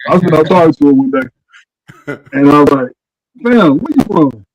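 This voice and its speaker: Forties man, co-host, relaxed rambling tales, a bit high-pitched, dry mic. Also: moderate pace, no echo, highs cut off. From Arkansas. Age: 40s. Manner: laid-back storytelling, trails off with "you know what I'm saying". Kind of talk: casual sports chat.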